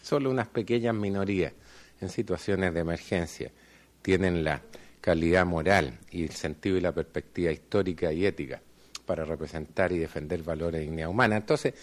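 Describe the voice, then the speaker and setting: A middle-aged man speaking on a conference panel in a measured, formal way, often pausing on fillers. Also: low-pitched. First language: Spanish. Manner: measured, hesitant with frequent fillers. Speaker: panel speaker, law school dean.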